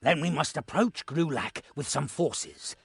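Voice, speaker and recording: A man playing a shrewd, commanding war leader in a fantasy game, measured and authoritative. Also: fairly high pitch, gravelly, husky, dry recording, clean studio sound, exaggerated voice. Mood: resolute scheming.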